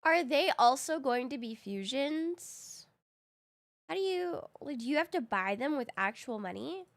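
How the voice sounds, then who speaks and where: A high, slightly groggy woman's voice you would hear on a laid-back game stream, chatting informally with viewers soon after waking. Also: lively intonation, unhurried. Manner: slangy, informal. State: groggy voice, recently woken. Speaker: live streamer. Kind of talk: casual gaming stream chat.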